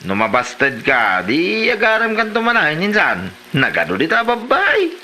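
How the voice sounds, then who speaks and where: A man acting an excitable, teasing cousin in a rural comedy drama, with an Ilocano accent. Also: high voice, normal speed, a little hiss or hum, exaggerated voice. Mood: excited.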